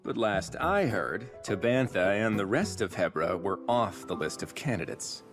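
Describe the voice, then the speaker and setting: A man as a low, animated bird-folk warrior. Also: moderate pace, close to an everyday voice. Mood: friendly, wry. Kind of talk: video game cutscene acting. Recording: music behind the voice.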